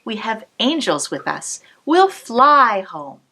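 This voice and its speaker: Storybook grandmother, old and calm, slow soothing promises, lively rise and fall, read by a woman. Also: a little high, heavily acted. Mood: reassuring.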